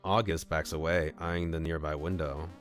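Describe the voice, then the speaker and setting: Deep-voiced man reading a game aloud. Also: level tone, moderate pace, soft background music. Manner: playful, thinks aloud. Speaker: streamer. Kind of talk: reading a visual novel aloud.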